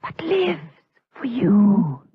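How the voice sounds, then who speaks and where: An actress playing a cool, scheming temptress in a gothic radio drama, measured, insinuating and commanding. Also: female voice, mid-range voice, sing-song, breathy edge, no echo, exaggerated voice. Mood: sinister, commanding. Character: cool, scheming temptress coaxing a monk to evil. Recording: tinny, phone-like radio drama recording.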